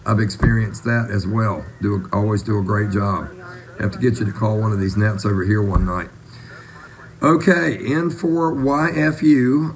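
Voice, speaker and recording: Low-voiced man running a radio net. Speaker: ham radio net controller. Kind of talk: casual radio net hosting.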